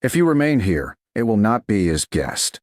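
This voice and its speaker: A man voicing a stern, reserved duke in a romance story, calm, formal and terse. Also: lowish voice, normal speed, no echo, clean studio sound. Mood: quiet, intent.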